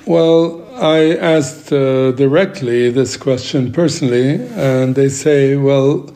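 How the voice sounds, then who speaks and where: A man's voice: medium pitch, slow, dark tone. A man whose first language is Arabic, answering interview questions emphatically and acting out the exchanges he quotes. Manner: emphatic, laughs between points, acts out quoted exchanges. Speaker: interview guest, missions advocate.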